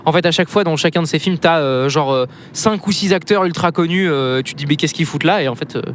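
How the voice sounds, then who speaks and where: A young man with a high voice chats in a halting way, leaning on fillers. Clear road noise from the car runs behind him. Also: fairly bright tone. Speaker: podcast co-host. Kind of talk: casual chat. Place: inside a moving car.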